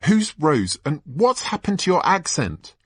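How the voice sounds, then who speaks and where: A man's voice: on the high side, sing-song, unhurried, a bit bright, slightly rough, dry recording, voice pushed up, heavily acted. A man voicing a bossy, prickly young schoolgirl in a storybook reading, prim and indignant. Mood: prickly, suspicious.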